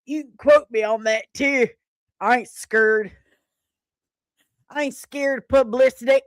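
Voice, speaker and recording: A woman voicing a gruff, suspicious rural man in his fifties, with a blunt folksy drawl, in a dramatic comic reading. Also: a little high, a little slow, no room sound, clean studio sound, pitched above the performer's own voice, natural voice. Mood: defiant.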